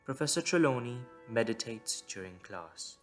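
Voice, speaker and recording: Guy narrating an audio drama, scripted storybook read, medium pitch, soft music under him. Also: expressive, normal speed.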